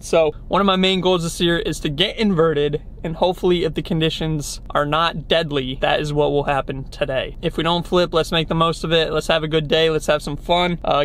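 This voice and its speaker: A man's voice: a little high, a bit bright, a little hiss or hum. A young man talking casually to a handheld camera, first in a parked car and then on a ski slope, upbeat and trying to stay positive. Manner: upbeat, talks himself into staying positive. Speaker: ski vlogger. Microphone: camera held at arm's length.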